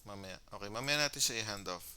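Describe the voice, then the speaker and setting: A man's voice: on the low side, fast, sharp tone, clear, resonant tone. A man with a Filipino accent casually commentating his own gameplay, thinking aloud and mixing Tagalog with English. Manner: mixes Tagalog with English phrases.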